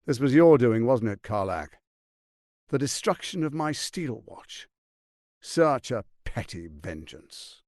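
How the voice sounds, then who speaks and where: A scheming tyrant lord from a fantasy video game, silver-tongued and smug. His pitch swoops dramatically as he savours each word at an unhurried pace. The delivery is pompous and menacing, recorded very dry and close. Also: very animated, darkish tone, dry recording. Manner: pompous, silky, menacing, theatrically emphatic.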